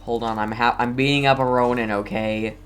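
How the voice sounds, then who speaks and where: A streamer giving casual gameplay commentary in a hesitant, low-key way, talking back to the game's characters. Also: fairly even intonation, brisk. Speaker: game streamer.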